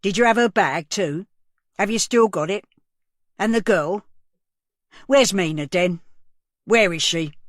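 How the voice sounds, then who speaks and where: A woman's voice: sits low, normal speed, dry recording, studio quality, lightly acted. A woman voicing a male police sergeant who questions a suspect in a pressing, accusing way.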